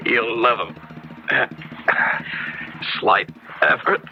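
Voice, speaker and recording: A man's voice: fairly low pitch, soft background music. An actor playing a genial male make-up artist who muses and halts as he works, in a 1970s radio drama. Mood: absorbed, musing. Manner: musing, halting, then exclamatory. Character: genial, brisk make-up artist absorbed in his craft. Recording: noisy, dull old radio recording.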